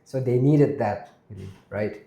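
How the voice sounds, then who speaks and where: A man hosting a casual interview, speaking haltingly and repeating phrases. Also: mid-range voice, creaky, warm tone. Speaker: interview host. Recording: roomy, echoing sound.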